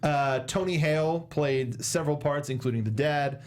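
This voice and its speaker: A man's voice: a little high, moderate pace. A young man co-hosting a casual film review chat, playfully sarcastic and mock-dramatic, close on a studio microphone. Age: young adult. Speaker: talk show co-host. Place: small indoor studio. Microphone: close desk microphone with foam windscreen, headset monitoring.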